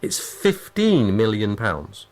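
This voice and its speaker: A man's voice: lowish voice, sing-song, slow pace, darkish tone. A British man hosting a radio interview in a conversational style, repeating phrases for emphasis. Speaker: radio host.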